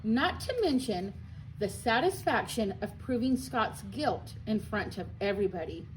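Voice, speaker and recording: A woman's voice: mid-range voice, animated, a little slow, slight background noise, low-quality recording. A woman reading a children's story aloud expressively, like a school librarian at storytime.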